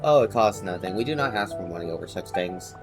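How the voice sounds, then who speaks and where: A man reading out the lines of a polite, devout elderly villager in a video game, haltingly and with stumbles. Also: deep voice, expressive. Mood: solemn, devout. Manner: halting read-aloud, stumbling over words. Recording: game music behind the voice.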